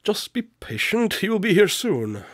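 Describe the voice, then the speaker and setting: A man plays a shrewd detective in a radio drama. His voice sits a little high for a man and is captured very dry. He speaks deliberately, with self-assured, dry condescension. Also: very animated, no echo, exaggerated voice. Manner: measured, deliberate, dryly superior. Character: shrewd, confident male detective, dryly condescending.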